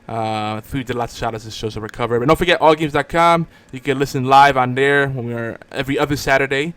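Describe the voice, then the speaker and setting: A man's voice: medium pitch, fast talker, fairly bright tone, clear, resonant tone, dry recording. A man hosting a podcast in casual chat, talking like an enthusiastic fan. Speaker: podcast host.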